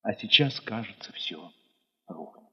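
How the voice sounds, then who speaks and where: Radio play: war-weary man remembering besieged children, tender and emotive, husky, very slow, swelling and hushing. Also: lowish voice, animated, in a large hall, lo-fi sound, full character voice. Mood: despairing, hushed. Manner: measured, emotive, with dramatic swells and hushed drops. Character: war-weary male dance ensemble leader recalling besieged children, tender. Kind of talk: dramatic literary monologue in an audio play.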